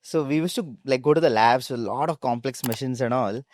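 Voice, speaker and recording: Casual young Indian man, medium pitch. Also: fairly quick, darkish tone. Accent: Indian English. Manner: informal, peppered with "like" and "literally". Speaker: startup founder, interview guest. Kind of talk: casual storytelling in an interview.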